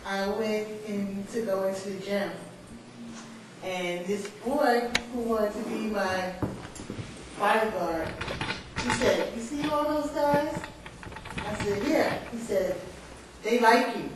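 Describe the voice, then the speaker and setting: A woman's voice: medium pitch, takes it slowly, long pauses. A woman from New York telling a casual personal story, informally acting out the dialogue. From Bronx, New York. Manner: informal, acts out quoted dialogue. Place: indoor literary salon, far from mic. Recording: echoey, distant, noisy room recording.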